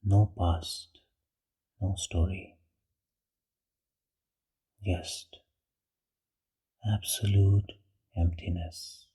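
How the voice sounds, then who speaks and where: A man leading a guided meditation in a hushed, breathy voice, with short phrases and long pauses. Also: low voice, level tone.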